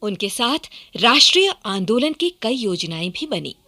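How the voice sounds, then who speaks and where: A woman's voice: fairly high pitch, very animated, measured pace, bright, forceful tone, soft background music. A woman narrating a historical radio play in a scripted, dramatic style.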